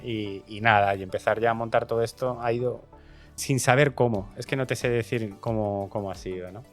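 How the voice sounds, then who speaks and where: A man's voice: lowish voice, steady tone, a little fast, faint music behind. A man in his forties with a Madrid Spanish accent, chatting casually and rambling a little as he answers viewer questions. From Madrid, Spain. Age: 40s. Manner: rambling, chatty, with frequent restarts and asides. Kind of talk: casual Q&A chat.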